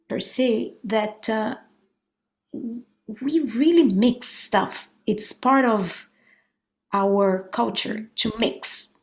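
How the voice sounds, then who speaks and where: A woman from Brazil with a Brazilian Portuguese accent, a musician answering interview questions thoughtfully and often restarting her sentences. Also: medium pitch. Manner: thoughtful, searching for words, frequent restarts. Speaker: musician guest. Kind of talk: conversational interview.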